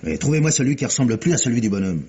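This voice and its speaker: An actor playing a gruff, colloquial but methodical police investigator in a radio drama, blunt and familiar. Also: male voice, medium pitch, animated, very fast, dark tone. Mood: coaxing. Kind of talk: 1950s French radio drama acting. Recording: muffled old radio recording.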